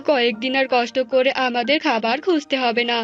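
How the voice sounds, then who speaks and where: A woman's voice: fairly high pitch, fairly quick, lo-fi sound, soft background music, close to an everyday voice. A woman voicing a greedy, lazy male bird husband in a cartoon fable, with a gloating, blustering manner. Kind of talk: animated fable character acting.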